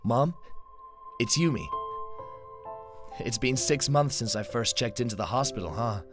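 A man plays a young, resigned hospital patient. The speech is slow, hesitant and blunt, with music clearly behind it. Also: mid-range voice, animated, lightly acted. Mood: resigned, sad.

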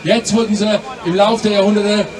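A man in his fifties speaking forcefully into a handheld microphone at an outdoor rally, prompting listeners with frequent tag questions. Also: sits high, steady tone, a little fast. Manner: forceful, repeating "ja?", inviting and prompting. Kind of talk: political street rally speech. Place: outdoor city square rally. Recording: distant voice with crowd noise.

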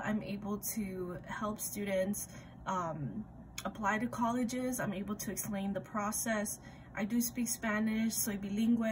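A young woman from Ecuador in her twenties, an admissions recruitment coordinator, giving a friendly, explanatory self-introduction on a webcam. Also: mid-range voice. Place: home room with ivy wall. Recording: video call with audible background noise.

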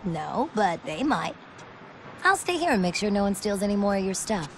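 A woman's voice: medium pitch, very animated, moderate pace, slight background noise. An actress voicing a streetwise, sarcastic young punk woman in a video game cutscene. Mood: wry, teasing. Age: young adult. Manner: wry, sarcastic, teasing.

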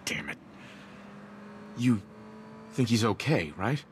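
Rescued firefighter, lowish voice, earnest, worried, loyal, video game drama. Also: male voice, very animated, slow pace, slight background noise. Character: shaken, loyal male firefighter rescued from captors.

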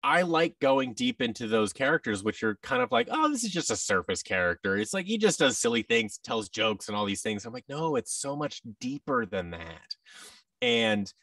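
A man in his thirties with an American accent, chatting casually as an interview guest and telling anecdotes in an expressive way. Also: mid-range voice, moderate pace, dry recording, recorded from a distance. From Seattle, United States. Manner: animated, anecdotal, acts out quoted thoughts. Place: large furnished indoor room, video call. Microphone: boom mic and headset, slightly off-mic.

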